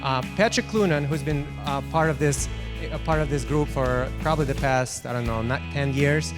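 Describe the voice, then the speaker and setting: A man from Ukraine with a Ukrainian accent giving a halting personal testimony, full of fillers and restarts. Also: a little high. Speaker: testimonial guest. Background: guitar music underneath.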